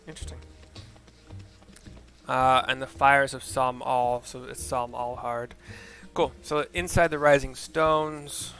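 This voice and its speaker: A man's voice: medium pitch, steady tone, slow pace, pauses often, a bit bright. A man giving casual commentary on a video game, reading out the story and muttering asides as he goes. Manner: mutters asides and spells out names. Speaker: video game player narrating a playthrough. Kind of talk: casual gameplay commentary with read-aloud narration. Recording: music behind the voice.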